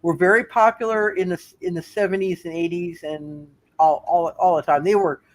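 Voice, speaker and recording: A man's voice: sits high, fairly even intonation, moderate pace. A man narrating a live tabletop roleplaying game as game master, casually rambling and repeating his phrases.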